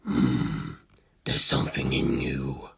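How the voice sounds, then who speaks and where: An immortal inhuman male villain from an audiobook, in a very low, breathy half-whisper. He speaks slowly and deliberately, coldly curious and detached. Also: fairly even intonation, full character voice. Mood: cold, calm. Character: Kars, cold inhuman male ultimate being, calmly curious.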